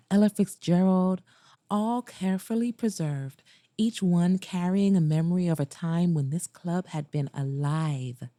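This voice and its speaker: A woman narrates a first-person romance audiobook in a low, very mellow voice. Her delivery is slow, soft and intimate, recorded close and dry. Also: dry recording.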